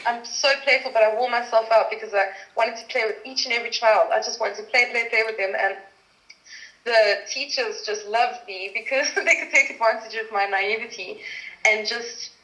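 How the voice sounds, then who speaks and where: A woman's voice: fairly high pitch, steady tone, normal speed, bright, forceful tone. A woman from South Africa, a guest actress telling stories in a casual interview, chatty and laughing often. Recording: echoey room.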